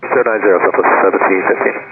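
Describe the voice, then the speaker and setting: Dull, lo-fi radio; low-voiced male airline pilot making readbacks and requests. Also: fairly even intonation, very fast. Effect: cockpit radio. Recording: muffled, noisy cockpit radio transmission.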